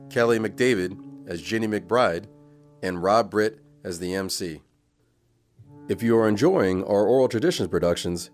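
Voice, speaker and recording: A man reading scripted end credits as the announcer of an audio drama. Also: fairly low pitch. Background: plucked guitar music.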